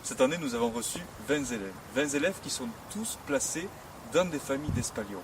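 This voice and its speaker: A man's voice: medium pitch, sing-song, a little fast. A man who heads a school, making an upbeat, persuasive appeal to families. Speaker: school head. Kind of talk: promotional appeal to camera. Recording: distant mic, street noise.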